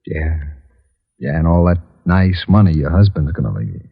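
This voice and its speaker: Cold, scheming radio crook, dull sound. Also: male voice, a bass voice, expressive, moderate pace, vocal fry, husky, exaggerated voice. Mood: low, seductive. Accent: American. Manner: tough, cool, slangy. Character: cold, scheming, slangy male murder plotter and lover. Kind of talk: old-time radio crime acting. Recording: dull vintage radio recording, faint music.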